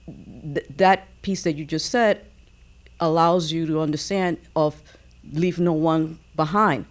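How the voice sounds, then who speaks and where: A woman giving a reflective comment as an audience member, with halting, deliberate phrasing. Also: sits low.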